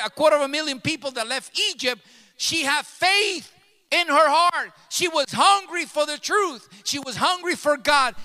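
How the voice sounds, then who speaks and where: A man whose first language is Spanish, preaching with emphasis, circling back over his phrases as he builds. His voice sits very high and cuts through sharply. It rings out with a long tail of reverb, as in a big church hall. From grew up in New York City. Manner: emphatic, repeats and restarts phrases, builds to loud passionate peaks. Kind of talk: sermon.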